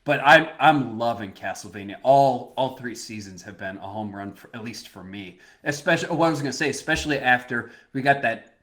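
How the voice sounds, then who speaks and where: A man's voice: medium pitch, a little fast, fairly bright tone. Casual, opinionated man chatting. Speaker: podcast co-host. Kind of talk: casual chat about movies.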